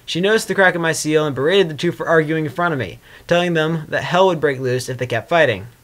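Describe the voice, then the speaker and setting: A man with a slightly high voice reads fiction aloud in an amateur way. He narrates in the first person with expression, keeping an informal, homemade storytelling feel. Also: quick pace, a bit bright. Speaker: fan fiction reader. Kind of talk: amateur dramatic story reading with casual asides.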